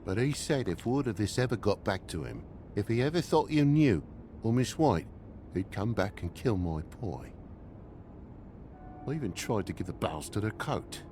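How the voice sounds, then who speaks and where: An actor with a British accent voicing an aggrieved, slangy middle-aged toad, a shifty dad in a video game, whining and indignant. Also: male voice, fairly low pitch, animated, normal speed, darkish tone, slight background noise, close to an everyday voice. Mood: sullen, defensive. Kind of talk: dramatic video game dialogue.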